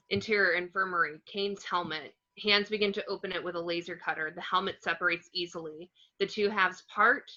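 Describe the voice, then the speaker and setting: A woman's voice: on the low side, sharp tone, muffled recording. A woman reading screenplay stage directions aloud as scripted narration.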